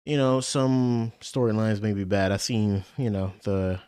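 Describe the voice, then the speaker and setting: Low-voiced man giving casual reactions. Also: dark tone, clear, resonant tone, no echo, studio quality. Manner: slangy, swearing, halting phrasing. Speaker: reaction video host. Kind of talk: casual off-the-cuff reaction commentary.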